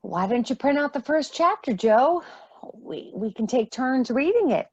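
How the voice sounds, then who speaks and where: A woman playing a gentle, practical, reassuring foster mother in a radio play. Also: high-pitched, very animated, a little slow, husky, no echo, muffled recording, full character voice. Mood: encouraging.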